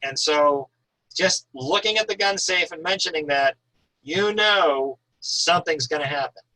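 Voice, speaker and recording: A man's voice: on the high side, unhurried, pushed and bright, poor audio. An American man from Northern Maine, an author reading prose and talking informally about writing. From Northern Maine, USA. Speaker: author reading and discussing their own thriller.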